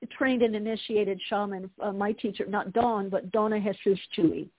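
A woman's voice: mid-range voice, steady tone, normal speed. A woman hosting an interview over a video call, bubbly and chatty, asking long rambling questions. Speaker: interview host. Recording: dry, phone-like narrow line.

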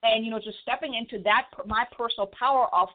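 A woman with a German accent, an author talking fast and stumbling over words as an interview guest. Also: a little high. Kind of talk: casual interview chat. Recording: phone-line audio.

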